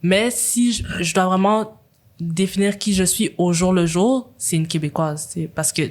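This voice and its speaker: A woman's voice: on the low side, normal speed. A young woman from Quebec with a Quebec French accent, hosting a casual conversation and talking in long, rambling stretches full of fillers. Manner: animated, rambling with frequent fillers. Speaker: host.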